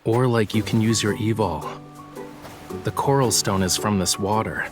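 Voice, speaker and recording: A man's voice: on the low side, normal speed, close to an everyday voice. A young man voice-acting a whimsical painter in a video game cutscene, wry, teasing and nonchalant. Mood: coaxing, instructive. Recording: music behind the voice.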